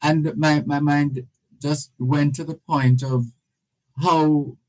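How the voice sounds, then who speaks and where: A man hosting a radio interview. His voice sits a bit high and he speaks slowly, easing into his questions with restarts. The delivery stays measured and conversational. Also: fairly even intonation, a little mellow, low-quality recording. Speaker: radio host.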